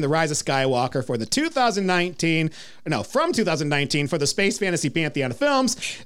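A man's voice: high-pitched, a bit bright, smooth. A man hosting a movie review podcast, teasing his co-host and rattling off lists.

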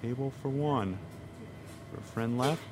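An actor playing a deadpan, unimpressed restaurant host in a naturalistic audio drama, flat and matter-of-fact. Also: mid-range voice, slow pace, noisy background, lightly acted.

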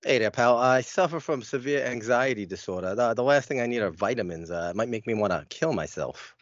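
A man's voice: medium pitch, expressive, brisk, dry recording, dull audio, close to an everyday voice. A man playing an anxious, flustered character in a comedic audio play, hesitant and stammering. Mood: nervous, hesitant.

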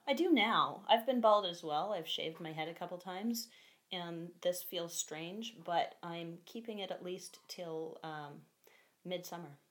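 Low-voiced candid woman guest. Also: unhurried, a bit bright. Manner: candid, chatty, laughs often. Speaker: guest, costumed performer. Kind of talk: casual interview chat.

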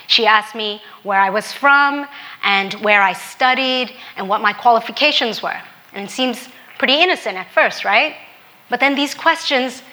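A woman's voice: a little high, bright, forceful tone, rough recording. A young woman from Hong Kong telling a personal story in an earnest, candid, reflective way.

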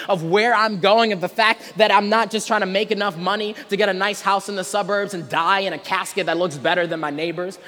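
A man's voice: high-pitched, fairly bright tone. A man preaching a conversational sermon, earnest and rhetorical, often checking in with his listeners. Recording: reverberant hall with long echo.

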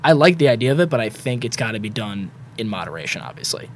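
A young man with a slightly raspy voice, talking in a quick, rambling way as a podcast guest. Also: on the low side, very animated, slight background noise. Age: young adult. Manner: quick, rambling, stacks filler words. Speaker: guest, student sports broadcaster. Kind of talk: casual podcast chat.

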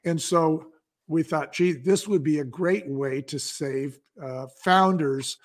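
A man's voice: on the high side, slow pace. A man talking as a guest in a casual interview, telling stories about business with enthusiastic, salesmanlike delivery. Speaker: podcast guest, business founder. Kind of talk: casual interview talk, storytelling.

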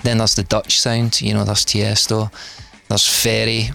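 Gravelly Scottish man chatting casually. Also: lowish voice, a little slow. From Scotland. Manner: relaxed, rambling, many fillers and restarts. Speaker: DJ and producer guest. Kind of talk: casual interview chat.

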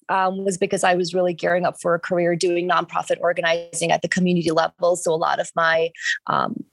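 A woman's voice: lowish voice, vocal fry, dry recording. A woman from Sri Lanka speaking conversationally as a panelist, often dropping in "you know" as an aside.

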